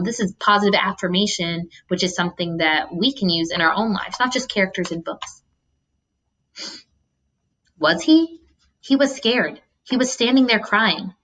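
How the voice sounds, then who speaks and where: Expressive woman teacher reading a storybook aloud. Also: mid-range voice, rough recording.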